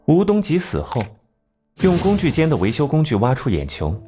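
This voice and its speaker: An actor playing a cool, sardonic genius detective in an animated crime drama, speaking in a measured, analytical way. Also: male voice, on the high side, slight background noise. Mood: coolly explaining. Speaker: detective laying out a case. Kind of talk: animated crime drama acting, deductive exposition. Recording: music behind.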